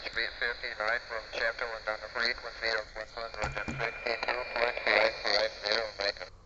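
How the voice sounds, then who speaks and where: A man's voice: fairly low pitch, level tone, moderate pace, lo-fi sound, background noise. A synthetic man's voice reading a scripted weather bulletin through a radio speaker. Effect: radio speaker playback. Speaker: automated weather radio announcer.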